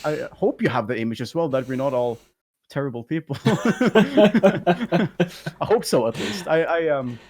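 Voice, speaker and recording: A man's voice: a little high, expressive, unhurried, darkish tone, slightly rough. A man with a light voice, a talkative guest in a casual interview who rambles and laughs often. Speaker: guest, YouTube content creator.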